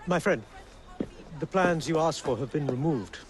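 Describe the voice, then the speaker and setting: An actor playing a dignified, courteous and knowing prince in a video game cutscene. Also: male voice, on the high side, normal speed, lightly acted. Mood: grave, knowing.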